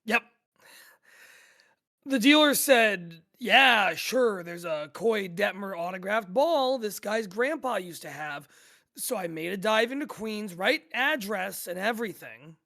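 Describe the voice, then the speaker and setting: A man's voice: sits high, lively intonation, takes it slowly, vocal fry, bright, forceful tone, full character voice. A man acting a weary, dejected game player in a naturalistic audio drama table read, hesitant and rambling, with some swearing. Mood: agitated, frustrated.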